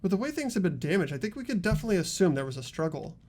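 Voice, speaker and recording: Woman doing earnest teen student in a game, super expressive, determined, voice jumps around. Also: fairly low pitch, racing. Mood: insistent. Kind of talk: amateur video game voice acting.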